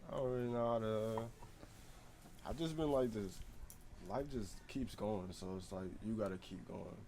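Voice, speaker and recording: A young man who is a podcast guest, talking casually in a hesitant, halting way. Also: lowish voice, dark tone, slight background noise. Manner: hesitant, halting, many fillers and restarts.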